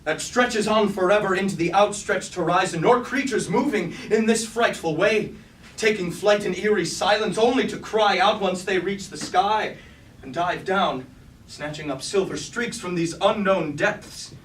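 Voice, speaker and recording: Man acting a high-voiced robot warrior, declaiming tragically. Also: normal speed, lightly acted. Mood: wondering, uneasy. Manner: theatrical, declamatory, archaic formal diction. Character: loyal robot warrior lieutenant, proud, battle-weary, devoted to his king. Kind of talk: staged reading of mock-classical verse tragedy. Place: indoor room at a staged reading. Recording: roomy, distant mic, faint noise.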